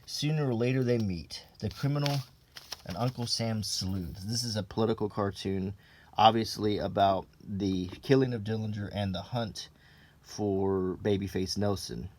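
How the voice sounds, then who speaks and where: A man reading an old printed text aloud in an ASMR style, unhurried and low-key, with casual offhand asides. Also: steady tone, a little mellow.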